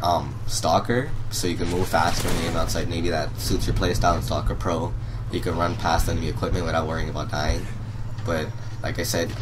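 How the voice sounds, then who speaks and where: A young man with a cold giving casual, explanatory gameplay commentary, often pausing to correct himself. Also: low-pitched, background noise. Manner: relaxed, explanatory, with fillers and self-corrections. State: has a cold. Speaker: gaming commentator.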